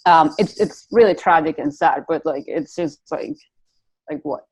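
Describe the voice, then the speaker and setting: A woman's voice: low-pitched, measured pace, a little mellow, slightly rough, rough recording. A woman from Venezuela with a Venezuelan Spanish accent, chatting casually as a guest and rambling with lots of "like". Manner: rambling, heavy on "like" fillers. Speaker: guest artist. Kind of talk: casual interview chat.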